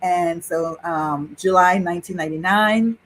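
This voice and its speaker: Lower-voiced woman in her sixties telling stories. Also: measured pace, slight background noise. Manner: animated, laughs while telling a story. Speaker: guest, Yoruba priestess. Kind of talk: personal storytelling in conversation.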